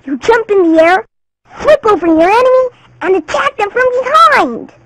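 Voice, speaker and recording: A woman's voice: extremely high pitch, sing-song, normal speed. A woman playing an eager, admiring little boy in a cartoon game, with a childlike, earnest delivery. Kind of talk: cartoon video game acting.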